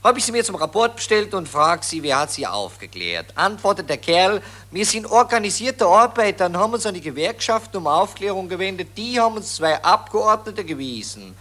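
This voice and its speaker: A man's voice: sits high, sing-song, fairly quick, few pauses, bright, forceful tone, exaggerated voice. An actor with a Viennese accent playing a boastful, harsh army officer in a radio play, drawling and chuckling as he brags. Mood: smug.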